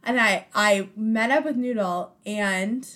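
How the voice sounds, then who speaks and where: A woman in her twenties co-hosting a podcast, chatting casually with quick, teasing banter in a friend's living room. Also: medium pitch, fairly bright tone. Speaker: podcast co-host. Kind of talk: casual chat.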